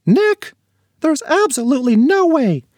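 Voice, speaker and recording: A man doing a high, stern storybook mom. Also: big pitch swings, unhurried, darkish tone, no room sound, clean studio sound, heavily acted. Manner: scolding, emphatic. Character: bossy mother, middle-aged woman, strict.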